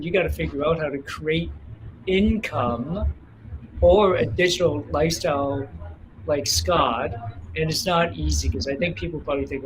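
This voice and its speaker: An older man speaks as a podcast guest, emphatic and openly enthusiastic as he talks. His voice sits a little higher than most men's. A faint background noise runs under his speech. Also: rough recording. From Toronto area, Canada. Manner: warm enthusiastic praise, emphatic.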